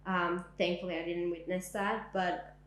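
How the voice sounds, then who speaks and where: A woman's voice: fairly low pitch, little pitch movement, a little slow. Young woman giving reflective testimony, echoey room. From Syria. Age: young adult. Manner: reflective, frequent "like" and "um". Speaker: interviewee. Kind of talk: oral history testimony. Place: indoors, living room.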